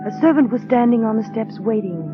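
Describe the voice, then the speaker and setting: An actress playing a shy, gentle young bride in a 1930s radio drama, polite and wistful. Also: female voice, fairly high pitch, a little fast, natural voice. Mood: wistful, narrating. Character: shy, gentle, nervous young bride narrating her story. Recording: dull, muffled vintage radio broadcast, music.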